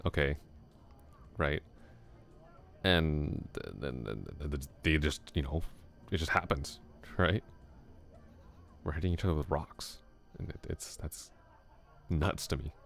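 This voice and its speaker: A man voicing a chatty young male classmate in an ASMR roleplay, musing aloud in a soft, rambling way with small chuckles. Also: a bass voice, takes it slowly, gravelly, pauses often, full character voice.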